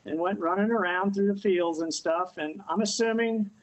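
A man in his fifties from Missouri, talking plainly with pauses and "uh" as a guest in a remote news interview from his porch. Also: sits high, poor audio. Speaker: dog owner, interview guest. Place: outdoors on a house porch.

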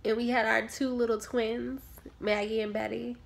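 A woman's voice: on the high side, level tone, normal speed, creaky. A woman performing a dramatic monologue as a grieving, defensive mother, speaking in emphatic, pointed phrases. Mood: wistful. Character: grieving, defensive mother questioned about her sanity.

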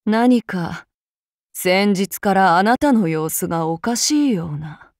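A voice actress playing a courteous, archaic-spoken samurai woman with a demon side, her soft formal speech giving way to shrill battle cries. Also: animated, takes it slowly, no room sound, clean studio sound. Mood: menacing, courteous. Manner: courteous and archaic with a menacing undertone. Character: polite, bloodthirsty demon-blooded samurai woman. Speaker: voice actress for a game character. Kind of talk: game character voice lines.

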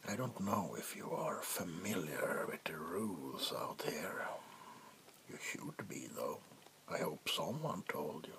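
Guy doing a smug vampire warlord for asmr, super slow n whispery, taunting, fake polite. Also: low voice, very animated, creaky, heavily acted. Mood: smug, commanding. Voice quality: soft-spoken, whispery. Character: menacing, smug male vampire king of a wasteland. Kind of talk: ASMR roleplay. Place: small indoor room. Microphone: close mic.

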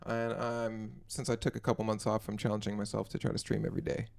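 A young man, hoarse after being sick, chatting quickly and casually with his stream viewers from a small home music room into a mic on a stand. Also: little pitch movement, dry recording. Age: young adult. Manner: quick, low-key chatter between songs. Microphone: studio mic on a stand. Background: acoustic guitar.

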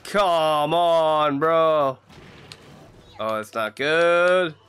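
A man's voice: a little high, expressive, extremely slow, smooth. Congested young man narrating his gameplay. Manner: chatty, reacting loudly to in-game hits. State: congested from a cold. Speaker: gaming channel host. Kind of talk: casual gameplay commentary.

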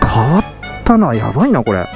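A man narrating a motovlog from his helmet as he rides, excited and laughing, sometimes shouting out and sometimes muttering low. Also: a little fast, creaky. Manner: excited, laughing, swinging between loud exclamations and low muttering. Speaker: motovlog host. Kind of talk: casual motovlog commentary while riding. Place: outdoors on a moving motorcycle. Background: road and engine noise with background music.

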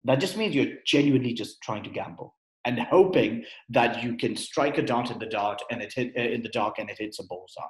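A man's voice: mid-range voice, a little fast. A man giving a conversational webinar talk on investing, explaining with fillers and restarts over a computer mic. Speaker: guest speaker at an investing webinar. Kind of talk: webinar presentation. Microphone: computer mic on a video call. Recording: roomy echo.

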